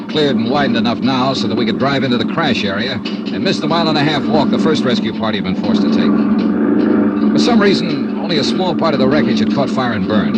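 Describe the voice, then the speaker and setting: An actor playing a hardboiled American insurance investigator who narrates in first person and talks in a terse, matter-of-fact way, in a 1950s radio drama. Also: male voice, medium pitch, heavy background noise, close to an everyday voice. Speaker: narrator and lead detective. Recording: old radio transcription with music bed.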